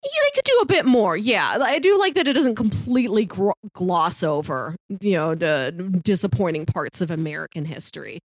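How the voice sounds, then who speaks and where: A woman's voice: lowish voice, expressive, moderate pace, few pauses, no room sound, clean studio sound. A woman co-hosting a casual film discussion, thinking aloud in looping phrases. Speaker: podcast co-host discussing films.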